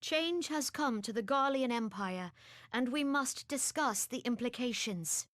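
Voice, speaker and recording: An actress playing a composed, authoritative female leader in a video game, speaking in a formal, measured, stately way. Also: a little high, lively intonation, a bit bright, no echo, clean studio sound. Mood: composed, serious. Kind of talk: dramatic video game voice acting.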